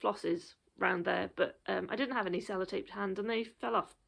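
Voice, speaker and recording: Woman, 30s, rambling show-and-tell crafter, a bit breathless, gravelly edge. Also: mid-range voice, fairly even intonation, brisk, a bit bright. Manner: chatty, rambling with many ums. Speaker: cross stitch vlogger.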